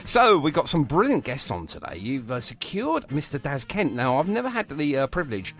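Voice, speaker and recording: A man's voice: fairly high pitch, huge pitch swings, brisk, a bit bright, faint music behind. An English man hosting a live radio show, bantering and interviewing in an effusive, jokey, matey way.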